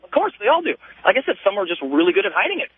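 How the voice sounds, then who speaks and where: A man's voice: high-pitched, huge pitch swings, racing, breathy edge, dry recording. A man calling a radio show with an emphatic, opinionated rant, piling up phrases in lists. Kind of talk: casual opinionated phone-in rant. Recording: tinny phone line.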